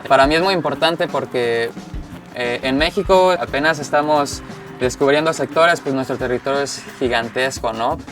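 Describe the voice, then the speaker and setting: A man's voice: mid-range voice, moderate pace, music in the background. A man in his twenties from Mexico, speaking earnestly in an interview. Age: 20s. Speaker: climber.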